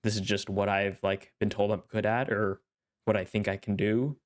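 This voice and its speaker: A young man hosting an anime reaction channel in casual solo commentary, thoughtful and rambling with many fillers, his nose congested and throat needing clearing. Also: on the low side, flat delivery, moderate pace.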